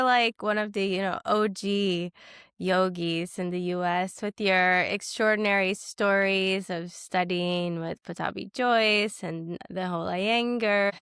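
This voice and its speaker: A woman from Canada hosting a podcast, enthusiastic and gushing, her voice a bit raspy from a cold. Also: mid-range voice, a bit bright, no room sound, studio quality. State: getting over a cold, raspy. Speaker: podcast host. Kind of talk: podcast intro and interview. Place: home in Sweden.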